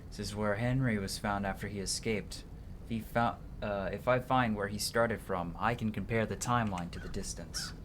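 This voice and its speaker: A man with a fairly low voice commentates a video game for a livestream. He moves between offhand casual remarks and dramatic read-aloud narration. There is noticeable noise behind him. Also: fairly even intonation, normal speed, few pauses. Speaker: livestream gamer. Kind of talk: gaming commentary mixed with reading game text aloud.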